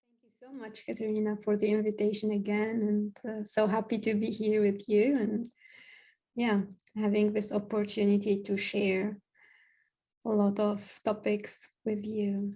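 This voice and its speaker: A woman in her thirties from Tunisia talking as an interview guest on a video call, earnest, with halting phrasing. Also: mid-range voice, monotone, warm tone. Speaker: health coach, podcast guest. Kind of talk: conversational interview. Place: home room, indoors.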